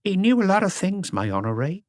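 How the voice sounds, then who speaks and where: A man's voice: sits high, big pitch swings, brisk, dry recording, studio quality, voice pushed up. A man voicing a wary, proud elderly mother with muttered, defiant retorts in an audiobook. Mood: wistful, proud. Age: older. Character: sharp-tongued elderly mother, wary, proud of her son. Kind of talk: audiobook character voice.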